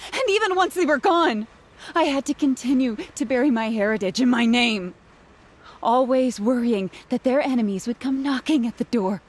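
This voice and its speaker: A woman voicing a bitter, cornered female villain and turncoat guard in a video game, with a scornful, theatrical, volatile delivery. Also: a little high. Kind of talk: dramatic video game voice acting.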